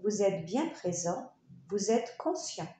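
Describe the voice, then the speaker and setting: A woman leading a guided yoga relaxation, speaking in short, soothing, unhurried phrases with pauses. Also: medium pitch, expressive, warm tone. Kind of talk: guided meditation narration. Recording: roomy echo, faint background noise.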